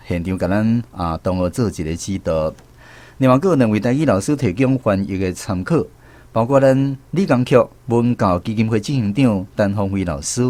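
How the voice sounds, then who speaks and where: A man's voice: lowish voice, a little mellow, no room sound. A man with a Taiwanese accent, hosting a language quiz segment on the radio. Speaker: radio show host.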